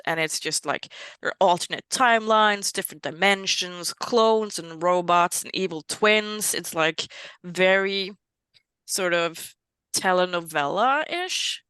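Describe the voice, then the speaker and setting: A woman's voice: on the low side, unhurried, pushed and bright, smooth, dry recording. A woman from Norway with a Norwegian accent, a guest author chatting casually, with many fillers and self-mocking laughs. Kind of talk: casual interview chat.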